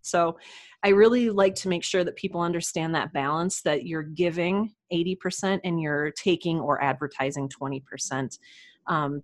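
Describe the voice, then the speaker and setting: A woman chatting casually and enthusiastically as a guest in an informal interview. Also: low voice. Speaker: podcast guest, writer and audio drama producer.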